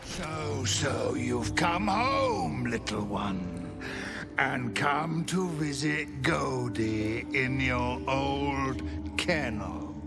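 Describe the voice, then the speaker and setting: An actor playing a cruel undead jailer in a video game, sickly-sweet and servile, with drawn-out taunting speech that refers to himself in the third person. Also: male voice, medium pitch, animated, creaky, loud music behind the voice, exaggerated voice. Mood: gloating, taunting. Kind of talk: cinematic video game voice acting.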